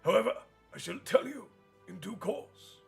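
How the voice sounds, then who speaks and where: A man voicing a wise, elderly male headmaster who speaks in a measured, courteous way, high above his own voice, with soft music behind. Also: expressive, vocal fry, exaggerated voice. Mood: calm.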